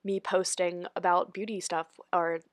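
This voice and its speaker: A young woman talks through a makeup tutorial in a loose, chatty voice-over, leaning on "like" and "honestly". She sounds stuffy and congested from a cold, and her voice sits a little low. The recording is dry and close, with no room sound. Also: little pitch movement, unhurried, no room sound. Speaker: beauty vlogger. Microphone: voice-over recorded separately.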